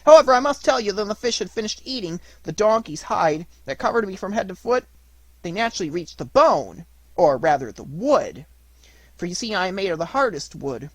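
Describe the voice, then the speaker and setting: A man's voice: sits high, very animated, fairly quick, no room sound, voice pushed up. A man voicing a cheeky, talkative wooden puppet boy in a storybook reading, with mock-polite, theatrical delivery. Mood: gleeful recounting.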